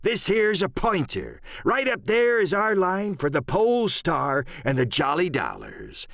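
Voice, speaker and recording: Wily, swooping old pirate rogue. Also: male voice, high voice, huge pitch swings, a little slow, vocal fry, fairly bright tone, no echo, clean studio sound, voice pushed up, exaggerated voice. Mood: uneasy, grim. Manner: salty old sailor's dialect, theatrical, swinging drawl. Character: wily, commanding seafaring rogue, a pirate ship's cook. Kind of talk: audiobook character acting.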